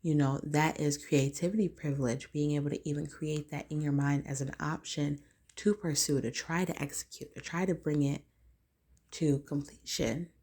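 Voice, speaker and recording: Woman, low-pitched, raspy, casual vlog monologue, rambling, lots of fillers. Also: fairly even intonation, normal speed, darkish tone. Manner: rambling, filler-heavy, loose and conversational. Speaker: vlogger. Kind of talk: casual talking-head monologue.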